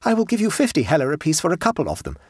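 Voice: mid-range voice, big pitch swings, a little fast, slightly rough, no echo, clean studio sound, voice pushed up. A narrator voicing an anxious, dramatic male train traveller in a storybook, urgent and tremulous. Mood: eager.

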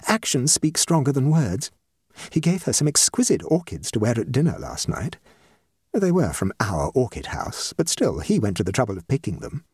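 A man's voice: medium pitch, big pitch swings, normal speed, a little mellow, husky, dry recording, studio quality, voice pushed up. A man voicing a middle-aged society hostess, an anxious matchmaking mother with an emphatic, effusive manner, in a comic story. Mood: eager, gushing. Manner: emphatic, effusive, self-dramatizing. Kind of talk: comic short story reading.

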